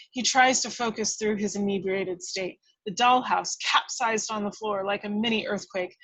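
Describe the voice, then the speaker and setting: A woman reading screenplay action lines aloud with dramatic, expressive delivery. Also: medium pitch, no echo, rough recording. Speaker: reader of action descriptions. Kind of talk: scripted narration, screenplay table read.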